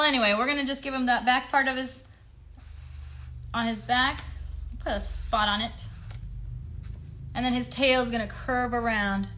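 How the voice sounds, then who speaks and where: A woman's voice: a little high, pushed and bright. A woman hosting a children's craft livestream, chatting through each step in simple words and often checking in with her young viewers. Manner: chatty, encouraging, often asks the viewers questions; simple child-directed wording.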